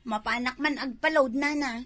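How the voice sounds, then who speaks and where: A woman's voice: high voice, moderate pace, a bit bright, no room sound. A woman playing a cheeky, gossipy village wife in an Ilocano comedy drama, with an Ilocano accent. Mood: bossy, playful. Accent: Ilocano, northern Philippines.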